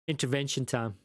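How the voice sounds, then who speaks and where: A man's voice: mid-range voice, no echo, clean studio sound. A man from South Africa with a South African accent, hosting a casual live stream and chatting with viewers, rambling and self-deprecating with many ums and restarts. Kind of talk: casual live chat reading viewer comments.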